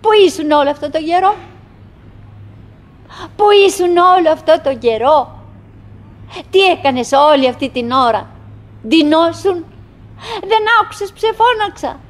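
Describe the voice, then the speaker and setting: An actress playing a wistful, chattering middle-aged wife in a theatrical radio monologue, in broken, repetitive phrases with dramatic pauses. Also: female voice, high-pitched, expressive. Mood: scolding, fussy. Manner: fragmented, repetitive, dramatic pauses with sudden swells.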